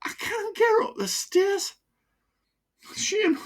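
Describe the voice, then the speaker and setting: A woman's voice: very high voice, animated, slow, voice pushed up, exaggerated voice. A woman voicing a breathless old male ex-miner from Sunderland with a broad local accent and blunt gallows humour. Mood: rueful wheezy. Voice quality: breathless, broad dialect, cackling. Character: old wheezing Sunderland ex-miner man, grimly jokey.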